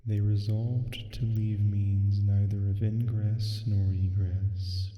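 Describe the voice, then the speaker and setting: A man voicing a slow, sinister sleep paralysis demon, reading a gothic storybook tale in a soft, hushed ASMR style with heavy reverb. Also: fairly low pitch, flat delivery, highs cut off. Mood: hushed, ominous. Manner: hushed, measured, theatrical reading. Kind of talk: ASMR roleplay, storybook narration. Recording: long cavernous reverb, echoing. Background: faint music.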